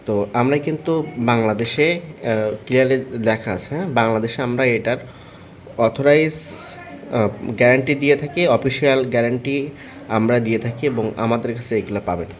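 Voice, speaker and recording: A man with a Bangladeshi accent giving an informal product pitch, explaining step by step, repeating himself and often checking that listeners follow. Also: normal speed, slight background noise. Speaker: seller presenting a product.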